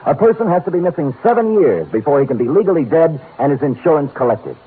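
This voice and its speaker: An actor playing a wry, unflappable, hard-boiled private detective, acting and narrating in 1950s radio style. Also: male voice, fairly high pitch, expressive, fast talker, slightly rough. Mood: brisk, urgent. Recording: narrow-band old radio broadcast.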